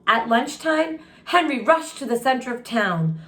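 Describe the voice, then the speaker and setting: Woman, story time host, expressive children's read-aloud with asides to listeners, leisurely tempo, audible room echo. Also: a little high. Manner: expressive, pauses to ask listeners questions and react. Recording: roomy, echoing room sound.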